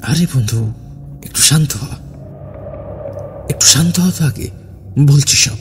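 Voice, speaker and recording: A man's voice: mid-range voice, expressive, slow pace, soft background music. A man voicing a self-assured, obsessed young man who explains earnestly and persuasively in an audio horror story. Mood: placating.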